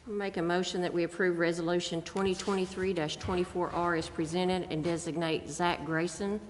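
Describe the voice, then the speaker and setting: A woman's voice: fairly low pitch, little pitch movement, fairly quick. An American woman speaking as a council member, making formal motions at a public meeting. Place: large indoor council chamber. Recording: echoey chamber with clear noise.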